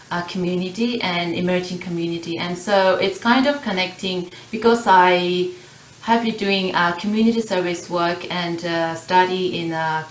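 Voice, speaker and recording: Woman, halting speech, lowish voice, roomy distant mic, faint ambience. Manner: halting, many fillers. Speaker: community worker. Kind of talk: panel introduction.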